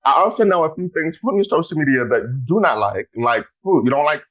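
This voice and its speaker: A man hosting a casual podcast, talking in an animated way, exclaiming loudly and speaking straight to his listeners. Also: fairly high pitch, brisk, dull audio. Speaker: podcast host.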